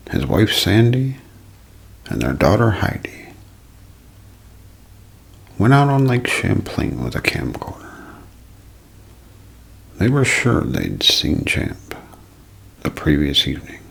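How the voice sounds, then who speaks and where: A man with a deep voice narrates in the manner of an unsolved-mysteries show, made for ASMR. His delivery is slow and deliberate, rising and falling dramatically. The tone is thick with gravel and husk, often slipping toward a whisper. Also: fairly bright tone. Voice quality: gravelly, husky, breaking into whisper.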